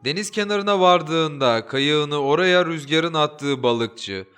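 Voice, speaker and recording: A man reads a folk tale aloud in a storyteller's way. His pitch sits on the higher side and swings widely from line to line. Soft music plays faintly behind him. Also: takes it slowly, clean tone. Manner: lively, expressive reading with voiced dialogue. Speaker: audiobook narrator. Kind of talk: storybook narration with character voices.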